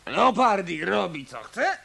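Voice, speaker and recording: An actor playing an arrogant, defiant jazz bandleader in a radio drama, pompous and full of contempt. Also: male voice, high-pitched, very animated, measured pace, gravelly, bright, forceful tone, husky, full character voice. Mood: haughty, scornful. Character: arrogant, defiant star jazz bandleader, insolent and drunk with himself.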